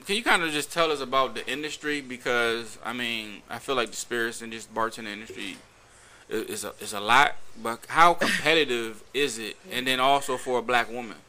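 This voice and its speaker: A man's voice: mid-range voice, brisk, pushed and bright. A man hosting a casual podcast interview, upbeat and encouraging as he asks his guest questions. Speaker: podcast host.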